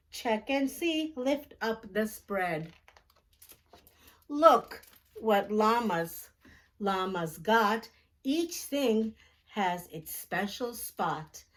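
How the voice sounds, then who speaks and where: A woman with a fairly high voice reads aloud for young children. She takes her time, phrasing each part deliberately and expressively, as a storytime host does. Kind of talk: children's picture book read-aloud.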